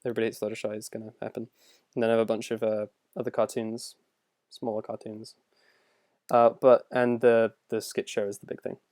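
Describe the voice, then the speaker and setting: A man's voice: on the low side, monotone, brisk, darkish tone, no echo, studio quality. A young man casually answering viewer questions on a livestream, rambling and self-deprecating as he goes. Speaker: animator and video creator answering viewer questions.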